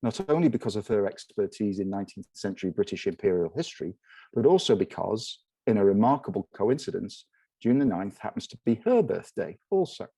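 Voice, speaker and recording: A man with a fairly low, mellow voice reads a formal introduction for a guest speaker. He is measured and slows down over titles. The sound is dry and close. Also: big pitch swings, slightly rough, dry recording. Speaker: event host introducing a lecturer.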